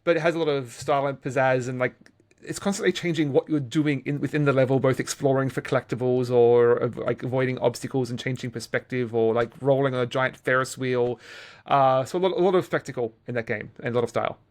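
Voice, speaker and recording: A man's voice: medium pitch, steady tone, quick pace. A man co-hosting a video game podcast in casual discussion, often repeating and correcting his words as he goes.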